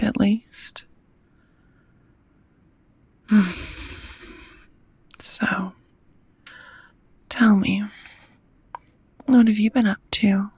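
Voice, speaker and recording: Woman as a breathy, slow-spoken gentle vampire roommate. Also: medium pitch, no room sound, full character voice. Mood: drowsy, affectionate. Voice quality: breathy, half-whispered. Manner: unhurried, softly formal, tender. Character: gentle, courteous female vampire roommate, newly awakened. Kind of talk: intimate ASMR roleplay.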